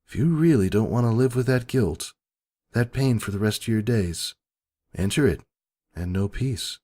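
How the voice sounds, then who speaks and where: A man voicing a calm female pony doppelganger, solemn and gently probing, in a dramatic story reading. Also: fairly low pitch, close to an everyday voice. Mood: solemn, tempting. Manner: measured, solemn. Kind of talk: dramatic fan fiction reading. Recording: background music.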